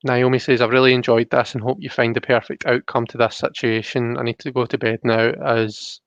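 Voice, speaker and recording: A man hosting a casual live stream over an online call, talking thoughtfully and haltingly and reading out comments. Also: mid-range voice, little pitch movement, dry recording, highs cut off. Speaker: live stream host and card reader. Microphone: online call microphone.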